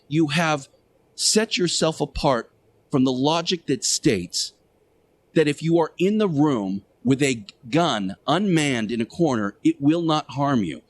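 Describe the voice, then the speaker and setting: A man delivering a sermon-like radio monologue as a show host, speaking emphatically and preachily with deliberate rhetorical repetition. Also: a little high.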